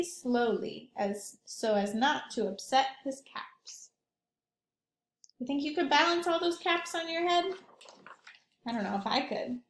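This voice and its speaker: A woman reading a children's storybook aloud in an animated, expressive teacher's manner, speaking directly to young listeners. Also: high voice, echoey room.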